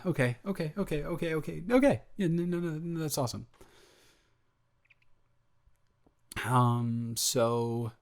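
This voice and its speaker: A man's voice: lowish voice, fairly bright tone, clean tone. A man acting as a sheepish, rambling young roommate guy. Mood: awkward, hesitant. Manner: hesitant, rambling, stammering, repeating words. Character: sheepish, awkward, good-natured male roommate, secretly smitten. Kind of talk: improvised roleplay audio, casual conversational acting.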